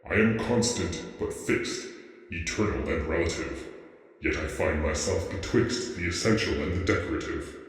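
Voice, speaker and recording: A man playing a grand, solemn sphinx, reciting in a portentous, formal way with heavy reverb for an audio roleplay. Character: grand, solemn male sphinx intoning a riddle. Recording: booming long echoing reverb.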